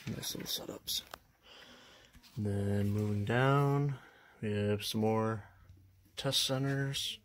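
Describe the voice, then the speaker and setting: A man's voice: on the low side, slow, long pauses. A young man casually walking through his radio collection on camera, talking in a hesitant, unsure way with lots of fillers. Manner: unsure, hesitant, many fillers and trailing phrases. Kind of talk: casual show-and-tell walkthrough.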